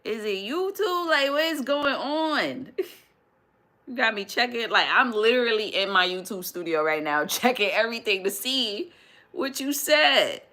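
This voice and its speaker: A woman, hosting a casual live stream from home, chatty and quick to laugh, often restarting her sentences as she answers viewer comments. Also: on the high side, expressive, pushed and bright. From New York City, USA. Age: 30s. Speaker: livestream host.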